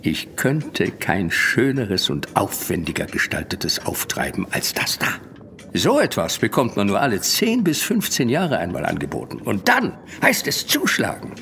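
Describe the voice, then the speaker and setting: An actor playing a wily, flattering antiques dealer in an audio drama, with effusive, persuasive salesman's patter. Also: lowish voice, a little slow, creaky, a little hiss or hum, exaggerated voice. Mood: proud, persuasive. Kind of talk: German horror audio drama acting.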